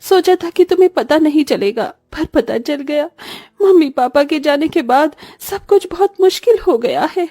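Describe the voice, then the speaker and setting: Woman as a soft, weary eldest sister. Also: sits high, lively intonation, moderate pace, few pauses, no echo, studio quality. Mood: sad, reassuring. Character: burdened, caring orphaned eldest sister, young woman. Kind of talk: Hindi cartoon story voice acting.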